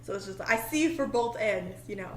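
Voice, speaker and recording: A woman's voice: mid-range voice, expressive, normal speed, a crowd in the background. A woman in casual fan chat on a podcast, informal and gushing as she talks and asks questions. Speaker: podcast speaker asking questions. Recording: roomy echo, noisy background.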